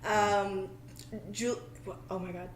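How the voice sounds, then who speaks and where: A woman's voice: mid-range voice, fairly even intonation, slow. A woman in casual fan chat on a podcast, informal and gushing as she talks and asks questions. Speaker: podcast speaker asking questions. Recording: roomy echo, noisy background.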